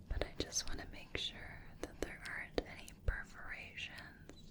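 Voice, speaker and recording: A woman voicing a gentle, attentive clinician in a soft-spoken ASMR medical roleplay, breathy and often whispering, with a reassuring manner. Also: natural voice. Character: gentle, attentive female clinician giving a check-up.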